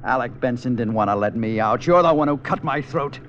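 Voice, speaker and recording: An actor playing a bitter, sarcastic, drunken has-been actor in a 1950s radio drama. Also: male voice, medium pitch, brisk, a bit bright, natural voice. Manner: sarcastic, aggrieved. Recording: dull, noisy 1950s radio recording.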